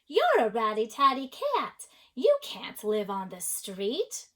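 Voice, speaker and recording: A woman voicing exasperated household people scolding loudly and emphatically in a children's picture book. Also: fairly high pitch, wildly swooping pitch, slow, heavily acted.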